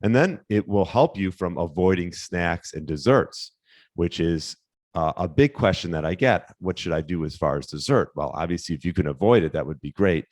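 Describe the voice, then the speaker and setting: A man hosting a casual solo fitness podcast, slightly hoarse, talking in a relaxed, rambling way with fillers and restarts. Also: sits low, moderate pace, no room sound, studio quality. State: slightly hoarse, losing his voice. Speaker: podcast host, fitness coach.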